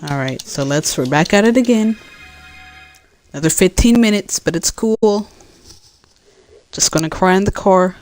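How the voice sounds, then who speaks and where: A woman from California with a deep voice, chatting casually as she plays a game and answers her chat, rambling with many fillers and restarts. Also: lively intonation, normal speed, long pauses, a little mellow, faint music behind. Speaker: gaming streamer.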